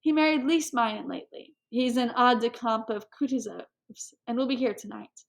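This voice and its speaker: A woman reader voices a gushing, meddlesome society hostess from a classic storybook. Her voice sits somewhat high, and her manner is courtly and confiding, tinged with coy melancholy. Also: normal speed, creaky. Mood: confiding, persuasive.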